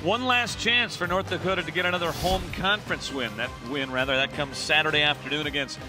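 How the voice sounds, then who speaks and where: Brief: middle-aged male sportscaster, a touch high-pitched, crisp and brisk, headset mic at an arena desk, music bed playing under him. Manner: brisk, polished broadcast delivery. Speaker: play-by-play host. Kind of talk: post-game sports wrap.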